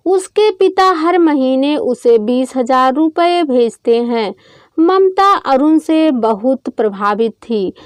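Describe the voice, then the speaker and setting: A woman's voice: sits high, no echo. A woman narrating a scripted story as a storyteller.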